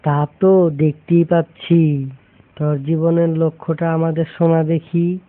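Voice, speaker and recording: A man voicing a stern but kindly village schoolteacher in a cartoon, questioning in a measured way with a Bangladeshi accent. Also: fairly high pitch, flat delivery, close to an everyday voice. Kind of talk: cartoon dialogue. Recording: muffled lo-fi audio, music behind.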